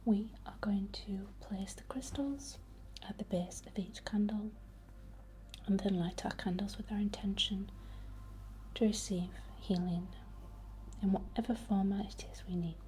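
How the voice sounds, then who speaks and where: A woman whispering breathily and gently as an ASMR host, chatting and guiding a healing ritual in an unhurried way. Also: mid-range voice, fairly even intonation, a little hiss or hum.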